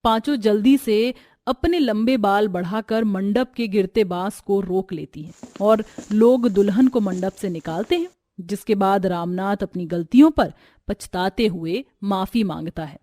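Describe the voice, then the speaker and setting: A woman's voice: medium pitch, a little slow, mellow, dry recording, studio quality. A woman storyteller giving scripted narration of a family tale.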